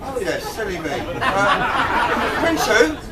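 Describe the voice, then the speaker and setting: A man's voice: sits high, sing-song, slow, a loud crowd, exaggerated voice. A man playing a forgetful, pompous old king in an amateur pantomime, muddled, hesitant and blustering. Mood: confused, dismissive. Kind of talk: amateur pantomime stage acting. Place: amateur show in a pub, with audience. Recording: distant mic in noisy hall with audience.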